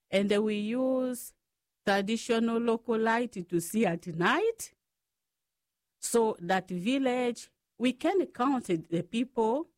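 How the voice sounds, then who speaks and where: A woman from the Democratic Republic of the Congo with a Congolese accent, telling personal stories as an interview guest in short, halting phrases of non-native English. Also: fairly high pitch, no echo, clean studio sound. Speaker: guest, Franciscan sister.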